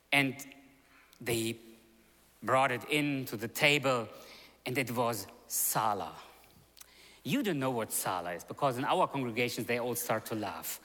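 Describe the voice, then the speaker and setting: A man preaches in a chapel, his voice bright and lively, swinging high and dropping low. He tells stories animatedly and sometimes stops to hunt for an English word. The sound rings with the long reverb of a large hall. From born in Russia, grew up in Germany. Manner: animated storytelling, sudden loud rises and soft drops, searches for words. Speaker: seminary chapel speaker. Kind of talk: sermon.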